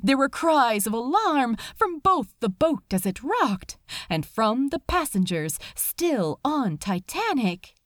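A woman narrates fiction in a high, expressive voice. She takes her time, yet keeps an urgent, emotive edge. The sound is close and dry. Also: slow pace, dry recording. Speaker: fan fiction reader. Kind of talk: dramatic scripted story narration.

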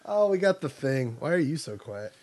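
A man hosting a casual podcast chat on a podcast microphone, loud and teasing, laughing easily. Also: fairly high pitch, sing-song, moderate pace, no echo. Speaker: podcast host.

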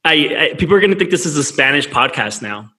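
A relaxed male podcast host with a bright, forceful voice who laughs easily and talks in loose, friendly bursts. He keeps the chat casual and quick to restart his sentences. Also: on the high side.

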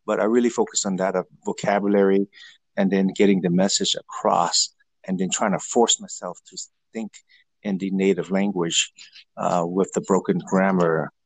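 A man whose first language is Vietnamese, answering interview questions in a halting way with many fillers and restarts. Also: low voice, flat delivery, creaky, no echo, rough recording. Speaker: guest.

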